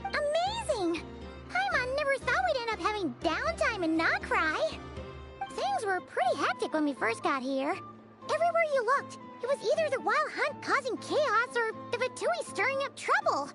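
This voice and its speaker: A woman's voice: extremely high pitch, sing-song, normal speed, loud music behind the voice. A woman voicing a tiny, childlike fairy companion in a video game, cute, perky and chatty. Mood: excited. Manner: perky, chatty, refers to self in third person. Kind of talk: animated video game voice acting.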